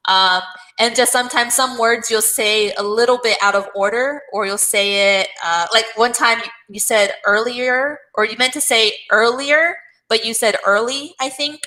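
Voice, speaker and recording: A woman tutoring English in a casual one-on-one video-call conversation, speaking gently and encouragingly with frequent affirming murmurs. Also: a little high, fairly even intonation, moderate pace, poor audio.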